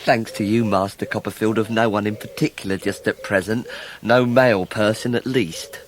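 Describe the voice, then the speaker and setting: A man's voice: fairly low pitch, steady tone, moderate pace, slight background noise, lightly acted. Oily, scheming English clerk, acted by a man. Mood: oily, sly. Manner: obsequious, insinuating. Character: oily, falsely humble, scheming male clerk, jealous and insinuating. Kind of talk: full-cast radio drama acting.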